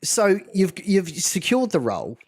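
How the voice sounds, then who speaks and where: Lively man hosting a podcast. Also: sits high, unhurried, breathy edge, no echo. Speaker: podcast host. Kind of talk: casual podcast interview.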